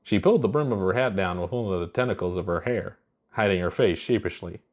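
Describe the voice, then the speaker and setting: A man narrating a scripted story in an expressive way. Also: deep voice, fast talker.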